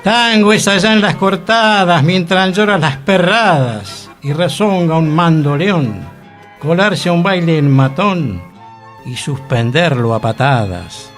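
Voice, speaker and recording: An old-time Buenos Aires man at a drinking gathering, as a male radio host reads him, declaiming rhyming verse with emphasis. He has a Rioplatense accent and a voice pitched a little high, and soft music plays behind him. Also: expressive, unhurried. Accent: Rioplatense Spanish.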